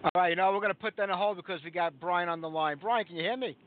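A man's voice: sits high, fast, slight background noise. A man hosting a live rock radio show, chatting casually and easily with fillers as he interviews a guest. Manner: easygoing, chatty, with frequent fillers. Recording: lo-fi phone-like sound.

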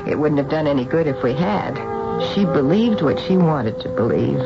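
An actress playing a small-town housewife who narrates a radio drama in a confiding, reflective way. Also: female voice, low voice, lively intonation, normal speed. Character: ordinary small-town housewife fondly telling a strange story. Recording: dull vintage radio broadcast with music.

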